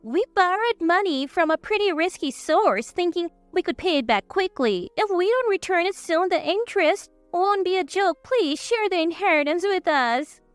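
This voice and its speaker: A very high-pitched, greedy sister-in-law from a narrated family drama, acted out by a woman. She is whiny and indignant, demanding her share. The sound is dry, with faint music behind her. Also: expressive, moderate pace, few pauses, no echo. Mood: pleading. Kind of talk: acted dialogue in narrated story.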